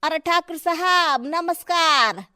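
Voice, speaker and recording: A woman's voice: very high voice, slow pace, dry recording, studio quality, full character voice. A woman playing a deferential, fawning townsperson in a moral story. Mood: pleading, worried. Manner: flattering, pleading. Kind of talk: acted moral-story dialogue.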